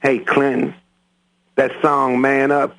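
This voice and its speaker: A man playing an inquisitive local listener with a gravelly voice, casually calling in to a game's radio station. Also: medium pitch, monotone, slow pace, fairly bright tone, rough recording. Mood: curious. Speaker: caller.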